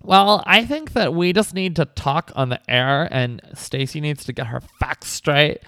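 A brash, combative cupcake shop owner, sassy and defiant, as a man puts her on in a raised voice. The tone is smooth and clean, with a dry, close sound. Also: measured pace, a bit bright, no room sound. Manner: sassy, defiant, high put-on voice. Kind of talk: comedy podcast sketch.

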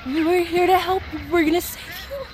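An actress playing a worried, pleading adult daughter in an audio drama. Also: female voice, very high-pitched, lively intonation, normal speed, noisy background. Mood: pleading, urgent. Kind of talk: audio fiction drama acting. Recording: loud dramatic music under voice.

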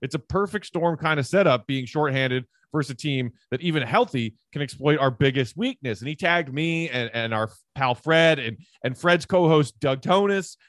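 Dry-sounding, higher-voiced man hosting sports talk. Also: animated, normal speed, hardly any pauses, fairly bright tone, no room sound. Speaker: sports podcast host. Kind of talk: conversational sports commentary.

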